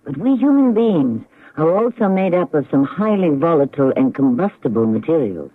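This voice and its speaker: Male mystery-drama narrator, measured and dramatic, expressive pitch, narrow-band vintage radio sound. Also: dry recording. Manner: measured, theatrical. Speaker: host and narrator. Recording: tinny, narrow-band old radio recording.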